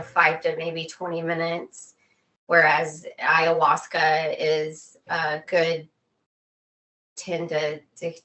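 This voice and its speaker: A woman with a fairly low voice talks casually as an interview guest. She explains things earnestly, pausing haltingly and repeating phrases to drive them home. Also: fairly bright tone, poor audio.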